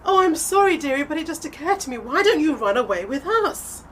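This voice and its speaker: Woman acting posh eccentric adventuress, British, breezy, theatrical, bright-spirited flippancy, very animated highish pitch, audio drama. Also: a little fast, slight background noise. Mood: coaxing, carefree. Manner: flippant, theatrical, genteel endearments.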